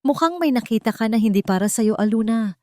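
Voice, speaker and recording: A woman voicing a gently spoken, threatening male villain in an audio drama. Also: a little high, animated, fairly quick, dry recording, clean studio sound, voice pushed up, lightly acted. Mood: soft menace. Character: menacing male villain, softly threatening, coldly in command.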